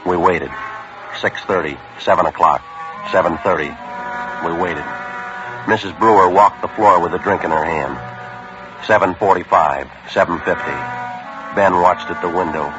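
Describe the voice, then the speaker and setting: An actor playing a terse police sergeant who narrates in a flat, clipped, deadpan manner, in 1950s radio drama style. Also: male voice, low voice, moderate pace, pauses often, over music, natural voice. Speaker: detective narrator. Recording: muffled old radio broadcast.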